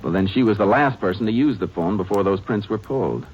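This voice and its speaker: An actor as a laconic, deep-voiced Texas Ranger. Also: male voice, quick pace, a little hiss or hum, close to an everyday voice. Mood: calm. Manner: calm, laconic, matter-of-fact. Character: steady, plain-spoken Texas Ranger lawman and narrator. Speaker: lead and narrator. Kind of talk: 1950s radio drama acting and narration. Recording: dull old radio broadcast recording.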